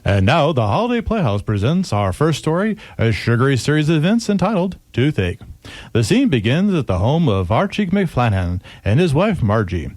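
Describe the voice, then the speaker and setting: Genial playhouse announcer, male actor, old-time radio, mid-range voice, measured, theatrical, expressive. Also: medium pitch, dry recording, natural voice.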